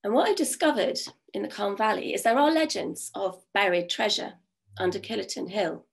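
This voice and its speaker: A woman in her fifties with a croaky voice from a cold, telling folk stories in a chatty way on a video call from home. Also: medium pitch, moderate pace. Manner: warm, chatty, with many fillers. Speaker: storyteller. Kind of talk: oral storytelling. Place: home room. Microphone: video call webcam.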